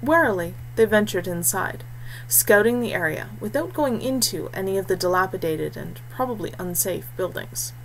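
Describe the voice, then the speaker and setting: A woman's voice: medium pitch, expressive, moderate pace. Woman narrating fiction over noisy hum. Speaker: audiobook narrator. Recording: clearly noisy background hum.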